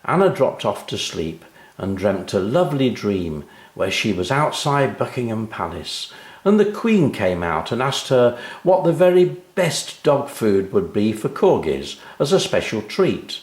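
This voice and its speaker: A man reading his own children's story aloud in an animated storytelling style. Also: lowish voice, measured pace, roomy sound.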